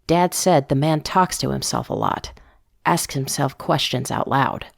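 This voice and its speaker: A woman giving a measured, intimate first-person narration of a scripted drama, as if reading from a diary. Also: a bass voice, creaky, no room sound. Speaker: narrator of a fiction podcast story.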